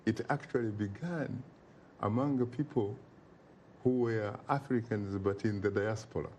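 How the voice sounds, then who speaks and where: A man's voice: on the low side, a little slow, darkish tone, a little hiss or hum. A man from Uganda, speaking as an interview guest in an emphatic, oratorical way and repeating phrases for effect. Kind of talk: impassioned interview talk.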